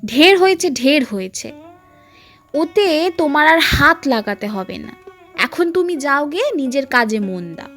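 Irritable aunt for an audio story woman performing: high voice, sarcastic, curt and scolding. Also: expressive, a little slow, fairly bright tone, soft background music. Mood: impatient scolding. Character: irritable, scolding aunt, dismissive and sharp-tongued.

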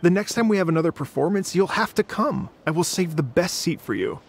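A man's voice: high voice, expressive, fairly quick, slight background noise. A man voicing a flamboyant stage performer in a video game, theatrical and gushing with thanks. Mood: effusive. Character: flamboyant male stage performer, grandly effusive.

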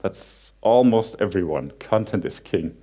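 Danish man giving a joking conference talk. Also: low-pitched. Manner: relaxed, self-deprecating humor with frequent halting restarts. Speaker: conference speaker. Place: large cinema hall on stage.

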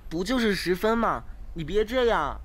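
A young man acting an earnest, polite, slightly naive studious teenage boy in an audio drama, gentle and encouraging. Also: high-pitched, expressive, normal speed. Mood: worried. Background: background music.

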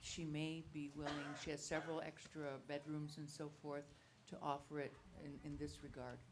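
A woman at a congregation meeting speaking quietly and measured, picked up from across the room. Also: deep voice, level tone, background noise. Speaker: congregation member.

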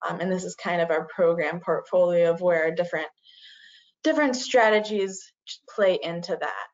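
A woman's voice: fairly low pitch, normal speed. Woman presenting over a video call. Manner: frequent "uh" and "um" fillers. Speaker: community forestry presenter. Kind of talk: online presentation. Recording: dull lo-fi video call audio.

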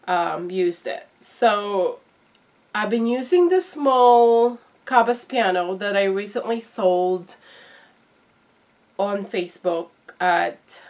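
A middle-aged woman, a little congested from flu, talking casually as a vlogger and showing things to viewers in an unboxing video. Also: mid-range voice. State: slightly congested, recovering from flu. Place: small indoor room at home.

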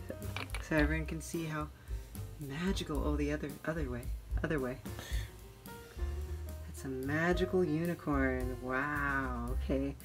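Low-pitched woman, playful host with music behind. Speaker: video host. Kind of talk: chatty demonstration and travel narration.

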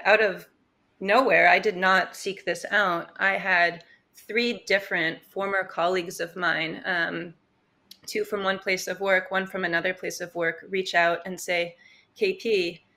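Woman guest, slightly hoarse, bright tone, reflective with fillers, earbud mic on a video call. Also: lowish voice. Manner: thoughtful, reflective, many fillers. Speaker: podcast guest, consultant. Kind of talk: casual interview talk. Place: home room, indoors. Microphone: earbud headset on video call.

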